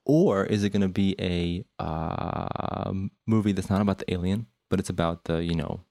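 A man's voice: sits low, normal speed, gravelly, dark tone, dry recording, clean studio sound. A man co-hosting a casual film chat, thinking aloud in a rambling, conversational way and qualifying his opinions. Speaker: podcast co-host.

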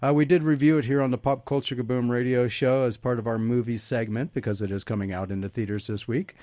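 A man's voice: mid-range voice, fairly even intonation, warm tone, dry recording, studio quality. Man hosting a talk radio show. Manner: halting, filler-heavy questions. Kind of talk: casual radio interview.

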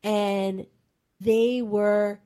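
A woman's voice: medium pitch, level tone, drawn-out words, mellow, clean tone. A woman retelling a reality show in casual chat, rambling and full of filler words. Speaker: podcast co-host. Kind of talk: casual pop-culture chat.

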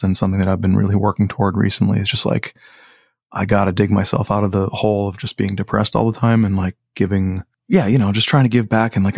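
A man with a low voice, a musician guest talking in a laid-back, rambling way with many fillers. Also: gravelly, a little mellow, no room sound, studio quality. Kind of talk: casual podcast chat.

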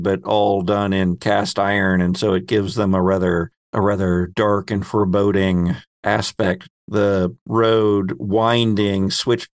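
A man hosting a tabletop roleplaying game as game master, narrating scenes deliberately and pausing mid-sentence as he improvises. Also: lowish voice, clean tone, dry recording, studio quality.

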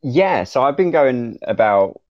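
A man's voice: medium pitch, sing-song, measured pace, no room sound. A man chatting casually as a podcast panelist, with hesitant, loose phrasing on dull audio. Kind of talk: casual chat. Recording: dull, dry call audio.

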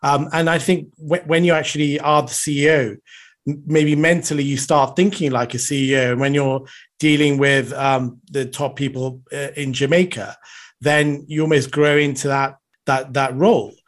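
A man hosts a podcast interview, his voice a little high for a man. He talks in a halting way, full of fillers and restarts as he shapes his questions. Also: fairly even intonation. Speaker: podcast host.

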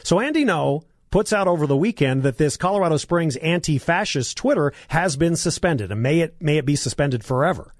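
A man on talk radio, hoarse and clearing his throat, his fairly high voice rising and falling widely as he rants with biting sarcasm. The sound is close and dry, with no room around it. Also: animated, brisk, hardly any pauses, dry recording. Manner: combative, sarcastic ranting. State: hoarse, coughing. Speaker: radio talk show host.

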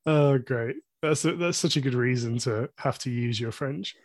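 A man hosting a podcast interview, enthusiastic and full of quick reactions. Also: medium pitch, no room sound, studio quality. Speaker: podcast host. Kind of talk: casual interview.

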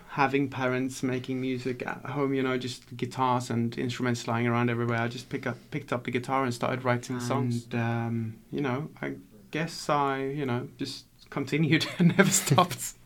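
A young man from Sweden, a musician guest in a casual interview, talking softly with lots of fillers and frequent light laughs. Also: medium pitch, slight background noise. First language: Swedish. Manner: soft-spoken, laughs often, many fillers.

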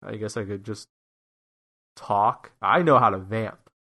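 A man's voice: fairly low pitch, unhurried, sharp tone, breathy edge. A man with a nasal voice hosting a podcast alone, chatting casually with self-mocking humor and many restarts. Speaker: podcast host and editor. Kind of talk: casual solo podcast chat.

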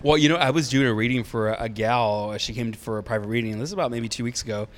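A man's voice: medium pitch, quick pace. A man in his thirties, a psychic medium, recounting his readings in a rambling, informal, conversational way. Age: 30s. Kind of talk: casual chat, storytelling.

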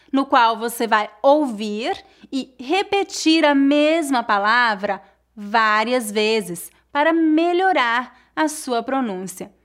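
Slow, high-voiced woman teaching. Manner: slow, clear, deliberate delivery for learners. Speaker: Portuguese teacher. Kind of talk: scripted lesson narration.

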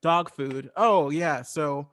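A man with a fairly high voice talks casually. He explains in a loose, rambling way, stopping and restarting his own thoughts. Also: slow pace, fairly bright tone, a little hiss or hum. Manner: rambling, self-interrupting, loose. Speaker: improv comedian on a podcast.

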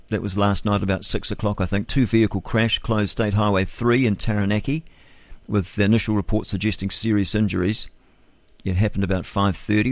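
Opinionated New Zealand man, low voice. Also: no room sound. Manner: rambling, opinionated, frequent fillers and self-corrections. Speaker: radio host. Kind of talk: casual talk radio news commentary.

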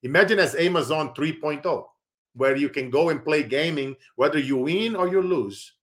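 A middle-aged man from Brazil with a Brazilian Portuguese accent, hosting a podcast and giving a persuasive, preachy pitch with many fillers. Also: normal speed. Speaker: podcast host. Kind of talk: motivational podcast monologue with sponsor plugs.

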